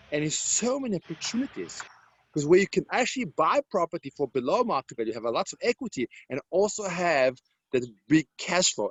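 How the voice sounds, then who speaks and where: A man's voice: on the high side, dry recording. A man from Serbia with a Serbian accent, speaking English as a second language, answering interview questions as a confident property mentor in broken grammar. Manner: confident sales-coach delivery, broken grammar.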